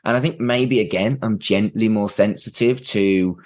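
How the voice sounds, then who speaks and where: A man's voice: fairly low pitch, moderate pace, a little mellow. A man, chatting casually as a podcast co-host. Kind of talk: casual chat about a TV show.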